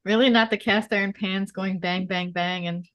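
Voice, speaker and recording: A chatty, expressive woman talking casually in an online group call. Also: mid-range voice, moderate pace, dry recording. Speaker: table read participant. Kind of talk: casual chat.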